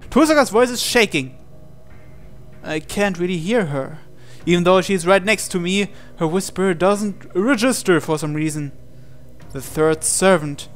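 Higher-voiced man narrating dramatically over music. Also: measured pace. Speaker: gaming channel host. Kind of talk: reading a visual novel aloud as narration. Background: game music.

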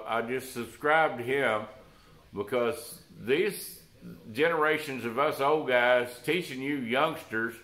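Older man, scratchy flu-worn voice, slow halting delivery, folksy workshop host, echoey room. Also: medium pitch, fairly bright tone. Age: older, around 60s. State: unwell with flu. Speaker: host of a workshop restoration vlog. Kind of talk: unscripted how-to talk to camera. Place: cluttered workshop, indoors. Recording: roomy workshop echo.

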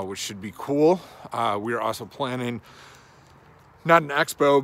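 A man's voice: mid-range voice, fairly bright tone. Rambling man thinking aloud on a walk. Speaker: strength and conditioning coach. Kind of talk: casual walking vlog monologue. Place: outdoors on a suburban residential street. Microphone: phone held at arm's length.

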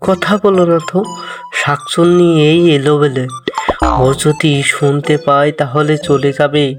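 A man's voice: fairly high pitch, steady tone, unhurried, over music, lightly acted. A man voicing a mischievous, self-assured boy who takes charge in a children's cartoon fairy tale. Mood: calm, scheming.

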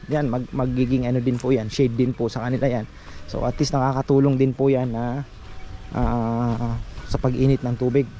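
A man's voice: medium pitch, little pitch movement, moderate pace, mellow, lo-fi sound. A man giving a casual farm tour, chatting to his viewers as fellow farmers. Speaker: farm vlogger. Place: outdoors on a farm. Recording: noisy outdoor phone recording.

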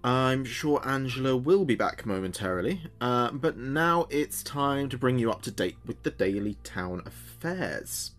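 A man plays a chatty late-night radio DJ in an audio drama. His mid-pitched voice swings in lively, theatrical turns, wry and playful, with music behind him. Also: a little slow, hardly any pauses, close to an everyday voice. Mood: composed. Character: chatty, jokey male small-town late-night radio host. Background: background music.

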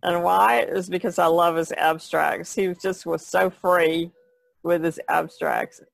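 A woman's voice: fairly low pitch, steady tone, slow, creaky, no echo. A woman podcast panelist talking in a casual, conversational way. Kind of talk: casual chat.

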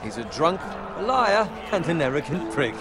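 Grumbly resentful young medieval squire, sarcastic, bit high voice, noisy game ambience behind. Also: male voice, big pitch swings, moderate pace. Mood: grumbling. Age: young adult. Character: bitter, resentful, sneering young male squire. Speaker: video game character actor. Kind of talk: cinematic fantasy game dialogue.

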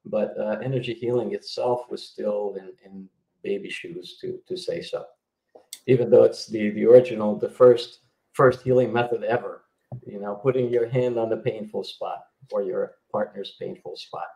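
A man's voice: fairly low pitch, steady tone, normal speed, gravelly, warm tone, roomy sound, poor audio. A middle-aged man from Yugoslavia, a healer guest explaining his work over a video call, with many fillers and restarts. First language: Hungarian. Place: indoor home room.

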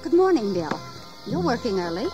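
A woman's voice: a little high, sing-song, moderate pace, music in the background, poor audio. A woman playing a genteel, proud and anxious plantation mistress in an audio history drama, with emotional delivery. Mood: genteel concern. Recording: 1980s audio drama, insect ambience.

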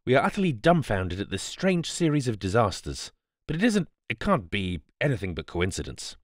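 A man's voice: medium pitch, sing-song, fairly quick, no echo, clean studio sound, pitched above the performer's own voice, lightly acted. A man as a strained young British aristocrat, voice raised. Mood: nervous.

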